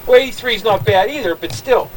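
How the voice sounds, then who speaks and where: A man hosting a radio oldies show, talking in quick, chummy patter and interviewing his guest. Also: high-pitched, distant mic, a crowd in the background, rough recording. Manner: upbeat, chummy, fast patter. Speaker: radio disc jockey host.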